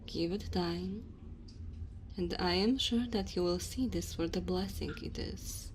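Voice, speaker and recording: A woman's voice: medium pitch, vocal fry, darkish tone, a little hiss or hum. A woman voicing a dominant, teasing immortal dragon woman in an ASMR roleplay, haughty and speaking straight to the listener. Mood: gentle, reassuring. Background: cave ambience and fire sound effects.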